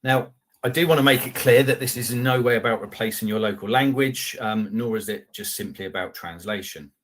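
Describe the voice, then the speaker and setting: A man's voice: fairly low pitch, brisk, fairly bright tone. A middle-aged man presenting a product webinar over a video call, talking conversationally with polite asides, fillers and restarts. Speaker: customer success manager presenting a webinar. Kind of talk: live product webinar with slides and screen share. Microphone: video call webcam.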